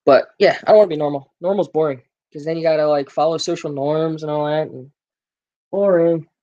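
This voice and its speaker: A man's voice: on the high side, steady tone, brisk, warm tone, dull audio. Rambling male live-stream gamer. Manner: rambling, full of fillers, jokes with viewers. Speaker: gaming livestream host. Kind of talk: casual live-stream chat.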